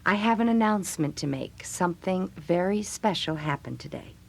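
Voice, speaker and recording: A middle-aged mom with a husky, medium-pitched voice that moves up and down freely. She is patient but firm and keen to keep things festive in an audio drama. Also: expressive, normal speed. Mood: cheerful, announcing. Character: patient, festive mother of a busy household. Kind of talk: scripted audio drama dialogue.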